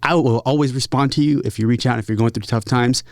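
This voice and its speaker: A man speaking casually and openly as an interview guest, earnest, in short halting phrases. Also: mid-range voice, fairly even intonation, darkish tone, no room sound, clean studio sound. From San Diego area, United States. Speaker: podcast guest, musician. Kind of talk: casual, candid interview talk.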